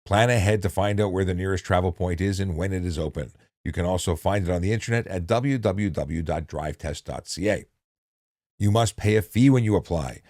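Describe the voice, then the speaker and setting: A man reading instructional material in a measured, deliberate way, with casual off-the-cuff asides. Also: deep voice, a little mellow, no echo, clean studio sound. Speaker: narrator reading a handbook with commentary.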